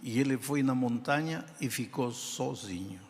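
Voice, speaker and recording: Older pastor w a foreign accent, unhurried sermon, big echoey church hall. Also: male voice, medium pitch, animated. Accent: non-native speaker of Portuguese. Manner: slow, deliberate preaching with emphatic pauses. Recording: reverberant hall sound.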